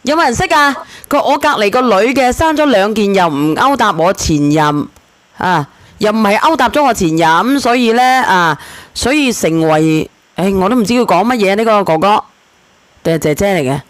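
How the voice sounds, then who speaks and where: A woman's voice: medium pitch, expressive, normal speed, dry recording. A woman casually hosting a live call-in, recapping and checking with short tag questions. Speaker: host of a relationship call-in show.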